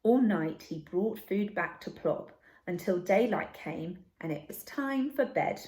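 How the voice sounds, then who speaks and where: A woman's voice: mid-range voice, animated, a little slow, darkish tone. A woman reading a children's story aloud expressively, like a teacher addressing young listeners, in an echoey room.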